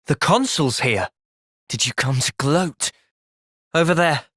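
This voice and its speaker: Earnest young game hero, thoughtful soldier, very expressive pitch, dry close sound. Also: male voice, slow pace, breathy edge, dry recording, heavily acted. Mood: stern. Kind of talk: video game cutscene voice acting.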